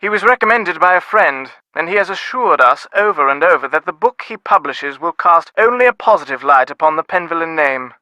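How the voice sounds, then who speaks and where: Game role: snobbish British upper-class dad, middle-aged, sardonic, highish sharp voice, very close dry sound. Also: male voice, lively intonation, fairly quick, few pauses, no echo, rough recording. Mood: haughty, puzzled. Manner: pompous, wordy, dryly sardonic. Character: snobbish, dismissive middle-aged English father of aristocratic family. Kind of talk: scripted video game dialogue.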